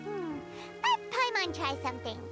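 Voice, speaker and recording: An extremely high, squeaky fairy companion from an anime-style game. The pitch bounces all over with childlike, perky chattiness. Loud music plays behind the voice. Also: female voice, normal speed. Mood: curious. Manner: perky, expressive.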